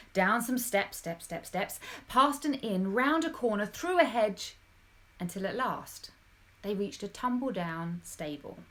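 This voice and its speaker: Expressive woman reading a children's story. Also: medium pitch. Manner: animated, dramatic, addressing young listeners directly. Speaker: storybook reader.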